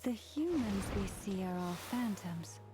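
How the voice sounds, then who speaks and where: A woman playing a calm, observant companion in a video game, speaking in a reflective, slightly formal way. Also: mid-range voice, a little slow, close to an everyday voice. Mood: thoughtful. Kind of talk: video game character acting. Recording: background music.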